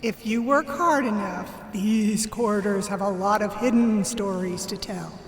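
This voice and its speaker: A woman's voice: medium pitch, a little slow, lightly acted. A woman playing an eager, gossipy newspaper reporter in a radio drama, confident and confiding. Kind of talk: contemporary radio drama acting. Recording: reverberant corridor with background ambience.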